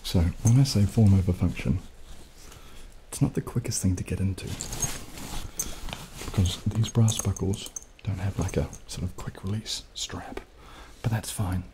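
Very deep, soft-spoken young man. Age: young adult. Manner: soft-spoken, hesitant, unhurried. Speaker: ASMR video creator. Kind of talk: casual ASMR, partly whispered monologue. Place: small dim indoor room. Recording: clearly audible background noise, added rain.

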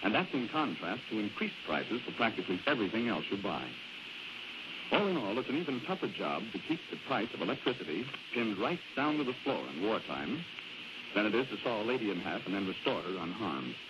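A man's voice: lowish voice, sing-song, brisk, very noisy. Male American radio announcer, 1940s. Polished, swooping sponsor delivery on a dull, band-limited vintage recording. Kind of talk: 1940s radio sponsor pitch, scripted banter.

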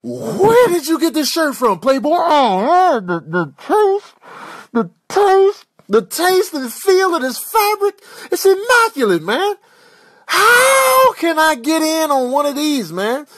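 A man voicing an awestruck monkey in a comedic animal voice-over, gushing in drawn-out, savoring phrases. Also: extremely high pitch, sing-song, breathy edge, no room sound, exaggerated voice. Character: awestruck, fashion-loving male monkey.

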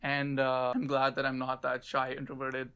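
Young Pakistani-accented man, student, relaxed chatty delivery full of fillers, seated on camera in a small dark studio. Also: mid-range voice, moderate pace, clean tone. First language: Urdu. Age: young adult. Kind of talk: casual personal interview.

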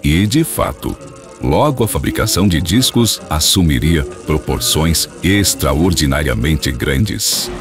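Very deep male narrator. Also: animated, a little slow, music in the background. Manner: formal, dramatic storytelling. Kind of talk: scripted radio drama narration.

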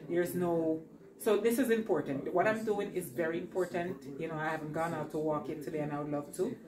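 A woman's voice: sits low, steady tone, brisk, roomy sound, a crowd in the background, rough recording. A middle-aged woman vlogging casually from her home kitchen, chatty and rambling as she talks to her viewers while she cooks. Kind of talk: casual cooking vlog. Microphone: camera on the counter.

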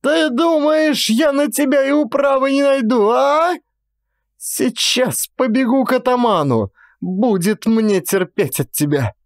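A furious old village mother acted out by a man scolds in a high, rattling voice. She draws her words out bitterly, as in a storybook drama, recorded close and dry. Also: no echo. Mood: enraged. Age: old woman figure. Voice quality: rattling, cracked voice. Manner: scolding, wailing, drawn-out.

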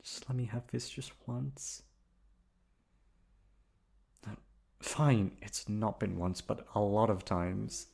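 A man's voice: on the low side, slow pace, gravelly, long pauses, darkish tone, breathy edge. A man acting as a teasing, affectionate boyfriend in an intimate ASMR roleplay, coaxing and pleading playfully. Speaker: voice actor performing an audio roleplay.

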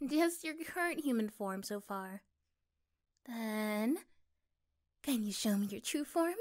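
A woman playing a gentle, affectionate young girlfriend in an ASMR roleplay, speaking softly and hesitantly with tender pauses. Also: high-pitched. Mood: reassuring, curious. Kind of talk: ASMR roleplay, intimate one-sided dialogue.

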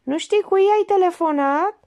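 A woman voicing a sharp, sarcastic older female amateur detective who needles a suspect with pointed, mocking remarks. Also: very high-pitched, voice pushed up.

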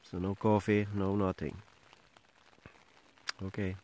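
A middle-aged man with a Norwegian accent speaks slowly into a close handheld camera, pausing and restarting often. His voice sits low. Faint outdoor noise runs behind him. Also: little pitch movement, darkish tone. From Norway. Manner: halting, many fillers and restarts. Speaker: outdoor vlogger. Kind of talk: casual camping vlog to camera. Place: inside a small tent, then outdoors by a lake. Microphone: handheld camera at close range.

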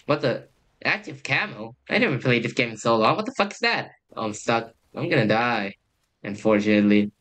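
Young guy yapping over a game, bright voice, kinda hesitant, mid pitch. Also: lively intonation, brisk. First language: Thai. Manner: rambling, hesitant, trails off with "I guess". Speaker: youtuber. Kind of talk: casual gameplay commentary.

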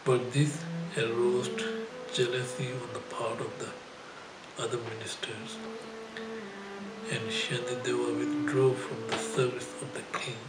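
Older male spiritual teacher, halting scripted reading, very unhurried, music underneath. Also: mid-range voice. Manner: halting, phrase-by-phrase delivery with frequent throat clearing. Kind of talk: reading a scripted life story aloud. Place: indoor shrine room. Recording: roomy echo, music behind.

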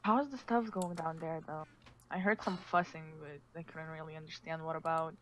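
A woman playing a level-headed, caring apocalypse survivor in a casual, measured amateur roleplay, with faint noise. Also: low voice, steady tone, fairly bright tone. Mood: calm, concerned.

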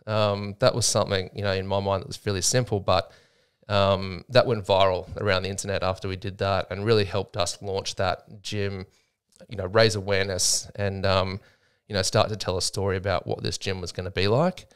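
A man's voice: low voice, little pitch movement, moderate pace, smooth. Chatty Australian man telling a story. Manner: chatty, laughs often, many fillers. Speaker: gym co-owner, guest. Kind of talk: casual storytelling interview. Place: small podcast studio. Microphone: studio mic with headphones.

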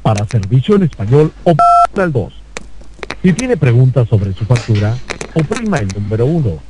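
A man's voice: mid-range voice, sing-song, moderate pace. A man reading a scripted automated phone menu as an announcer. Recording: telephone recording with faint noise.

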